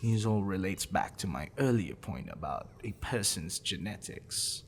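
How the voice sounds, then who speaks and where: A man playing a possessive, smitten professor in an intimate ASMR roleplay, wordy and clinical, with teasing, musing pauses. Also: sits low, animated, slight background noise. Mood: pedantic, musing.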